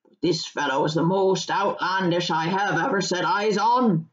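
A broad, red-faced hobbit farmer from a fantasy storybook, acted out by a woman reader. He is gruff and blunt but hearty, talking loudly in homely country phrases. The sound is a little lo-fi. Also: fairly low pitch, moderate pace, roomy sound, close to an everyday voice. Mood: grumbling, confiding. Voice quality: loud, hearty. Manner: rustic, colloquial, blunt turns of phrase.

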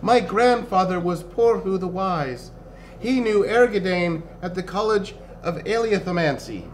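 A man's voice: high-pitched, unhurried, slight background noise, voice pushed up, low-quality recording. A man voicing a young, proud elven princess who speaks with polite, formal pleading in a fantasy story.

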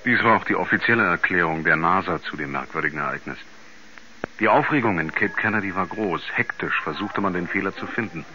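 An actor playing a sober reporter, narrating in a measured, factual documentary manner for a radio play. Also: male voice, sits low, sharp tone, a little hiss or hum. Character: sober male reporter narrating a mysterious space incident. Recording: dull vintage radio recording, music underneath.

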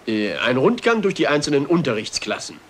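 An actor playing a stuffy, formal and eagerly polite navy captain in a radio play, with clipped orders and blustering hesitations. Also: male voice, medium pitch, big pitch swings, fairly quick. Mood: brisk, formal. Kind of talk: German radio-play acting.

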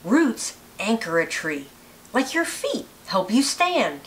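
A woman reading a children's picture book aloud with deliberate, expressive phrasing. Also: medium pitch, a little hiss or hum. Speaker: read-aloud narrator, teacher.

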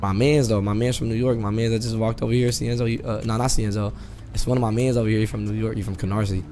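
Low-voiced young man, noisy headset audio. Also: fairly even intonation, quick pace, mellow. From California. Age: young adult. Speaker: streamer playing online role-play. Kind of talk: casual slangy in-character chat. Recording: noisy game voice chat, background noise.

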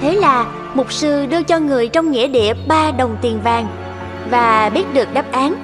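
High-pitched woman narrator. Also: normal speed, long reverb tail. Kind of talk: scripted story narration. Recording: music behind the voice.

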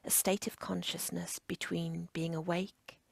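A woman leads a guided relaxation in a very creaky, husky near-whisper. Her delivery is unhurried and soothing, laid out in short measured phrases. The pitch barely moves as she instructs. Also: little pitch movement, no room sound. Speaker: yoga nidra instructor.

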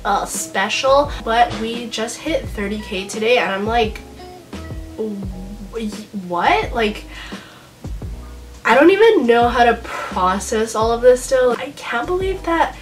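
A woman's voice: on the high side, lively intonation, takes it slowly, faint music behind. A teenage young woman with an American accent chatting casually to camera in an informal, self-deprecating way full of slang. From Honolulu, Hawaii, United States. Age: teenager, about 16 to 17. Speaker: vlogger. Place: small bedroom, indoors.

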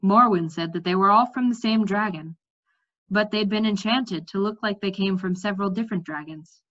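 A woman's voice: mid-range voice, normal speed, a bit bright, lo-fi sound, lightly acted. A woman reading the part of an earnest, questioning young king in a fantasy storybook. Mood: frustrated.

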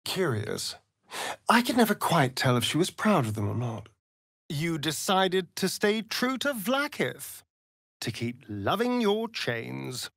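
A man's voice: on the high side, big pitch swings, slow pace, vocal fry, a bit bright, no echo, clean studio sound, exaggerated voice. A man playing a sly, vain, sardonic vampire rogue in a fantasy video game, with an arch, theatrical drawl. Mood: guarded, pointed.

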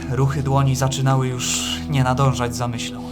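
An actor playing a solemn poet-narrator in an audio drama, reciting verse in a measured, reflective way. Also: male voice, mid-range voice, monotone, natural voice. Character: solemn male poet-narrator writing verse among mythic deities. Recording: very echoey hall reverb, loud music.

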